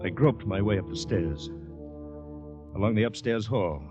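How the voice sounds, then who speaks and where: An actor playing a distraught man haunted by a murder, telling it haltingly in dramatic 1940s radio suspense style. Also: fairly low pitch, expressive, lo-fi sound. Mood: tense, haunted. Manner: halting, dramatic first-person narration. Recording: 1940s radio broadcast, faint music behind.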